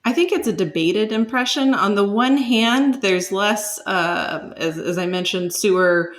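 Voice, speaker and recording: A woman speaking as an expert guest in an interview, explaining in a measured, academic way and qualifying her points. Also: mid-range voice. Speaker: podcast guest, city planning expert.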